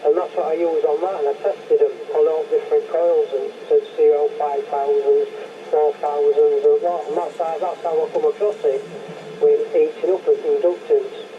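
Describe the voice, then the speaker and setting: A man's voice: on the high side, level tone, normal speed. An older man with a Northern English accent chats casually as a radio hobbyist, emphatic and rambling, often repeating himself. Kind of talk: casual chat over CB radio. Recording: muffled CB radio transmission with loud noise.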